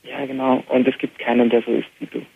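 A young man, a native Arabic speaker with an Austrian German accent, answering a radio interview by phone in an easy manner with many filler pauses. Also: medium pitch, level tone, gravelly, breathy edge. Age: young adult. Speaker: interview guest, band musician. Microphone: telephone. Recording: tinny phone line with faint hiss.

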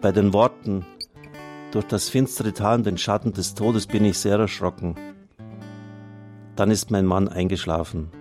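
Low-voiced man over guitar music. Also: steady tone, a little slow, long pauses, a little mellow. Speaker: radio host and priest. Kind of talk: reading out listener testimonials and appealing for donations on air.

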